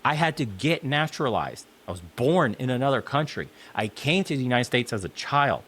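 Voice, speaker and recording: A man heard in a clip played inside a reaction video, delivering a blunt opinion rant. He swears constantly and hammers his points home with short, emphatic statements. His voice sits at an ordinary male pitch. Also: sing-song, normal speed, fairly bright tone, slightly rough. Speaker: commentator in a clip being reacted to.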